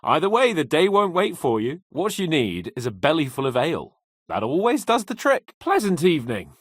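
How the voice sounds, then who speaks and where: A man's voice: on the high side, huge pitch swings, moderate pace, husky, no echo, studio quality, exaggerated voice. An actor playing a cocky young medieval nobleman in a video game, haughty and playful with a theatrical manner. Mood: cheerful, chatty. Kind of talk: video game character dialogue.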